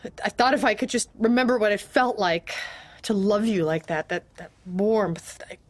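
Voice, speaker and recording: An actress playing a wife who is confused and anxious about her feelings, halting at times and bluntly teasing at others, in an audio drama. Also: mid-range voice, natural voice. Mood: wistful, blunt. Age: 40s.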